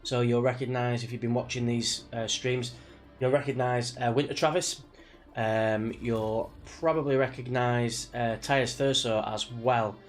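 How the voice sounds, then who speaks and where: A man's voice: mid-range voice, fairly even intonation, unhurried, faint music behind. A British man with a slight cold chats casually on a livestream, rambling in a laid-back way like a racing gamer talking to viewers. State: sniffly from a cold. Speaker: live streamer, sim racing driver. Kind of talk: casual livestream chat before a race.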